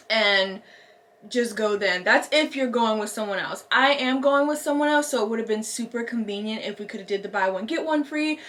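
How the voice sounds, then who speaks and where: High-voiced young American woman vlogging. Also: fairly bright tone. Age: young adult. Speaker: lifestyle vlogger. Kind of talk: casual chatty vlog.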